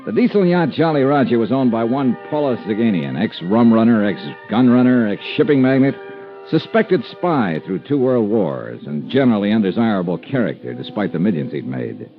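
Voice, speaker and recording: An actor playing a hard-boiled, wry American insurance investigator in 1950s radio detective style, with clipped delivery and first-person narration. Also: male voice, medium pitch, very animated, moderate pace, lightly acted. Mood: wry narrating. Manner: wry, clipped, world-weary. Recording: muffled vintage radio recording with music.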